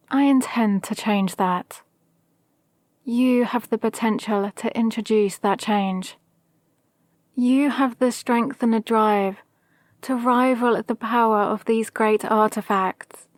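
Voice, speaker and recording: Woman acting a slow-spoken scheming villain mentor. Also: fairly high pitch, no room sound, clean studio sound. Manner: measured, deliberate, formal. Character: scheming, power-hungry female villain mentor, gentle yet menacing. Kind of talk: scripted ASMR roleplay monologue.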